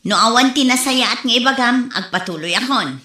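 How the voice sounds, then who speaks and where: A woman with an Ilocano accent playing a brazen, excitable village rival in a comedy audio drama. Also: a little high, normal speed. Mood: dismissive. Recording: roomy echo.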